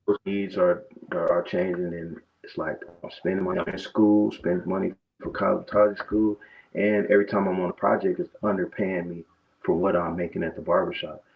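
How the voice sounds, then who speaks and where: Gravelly-voiced man. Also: deep voice, flat delivery, fairly quick. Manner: loose, conversational storytelling with repeated restarts. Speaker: barber guest. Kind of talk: casual interview talk. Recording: dull, muffled lo-fi sound.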